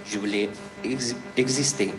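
A man, reading a personal testimony slowly and haltingly, with restarts. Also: medium pitch, steady tone, over music. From Afghanistan. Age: 30s. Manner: slow, halting delivery with restarts. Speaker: refugee giving testimony.

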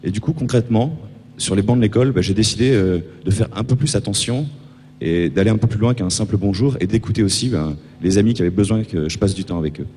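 A man's voice: fairly low pitch, fast talker, mellow. A young man with a French accent giving an informal, somewhat hesitant personal testimony. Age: young adult. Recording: reverberant hall, faint hum.

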